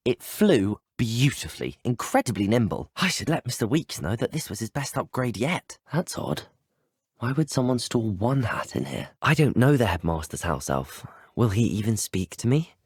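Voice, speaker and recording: Husky, polite young student wizard. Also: male voice, mid-range voice, sing-song, moderate pace, no echo, studio quality. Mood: curious, puzzled. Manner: courteous, well-spoken, formal phrasing. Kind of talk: acted video game dialogue clips.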